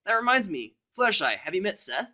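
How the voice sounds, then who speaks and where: Casting: male reader as cocky young cartoon mare, pitched way up, loud and boastful. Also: big pitch swings, brisk, slightly rough, full character voice. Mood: cocky, teasing. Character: brash, boastful young female cartoon pegasus pony.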